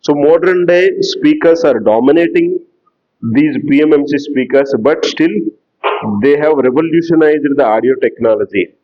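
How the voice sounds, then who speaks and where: A man giving a lecture in a slow, explanatory way that repeats key terms. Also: a little high, mellow, highs cut off. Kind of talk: classroom lecture.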